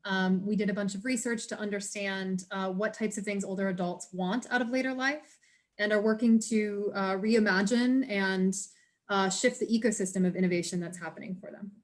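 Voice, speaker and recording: A woman from a venture studio introducing its work in a relaxed way, with fillers. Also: medium pitch, normal speed. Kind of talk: casual introduction.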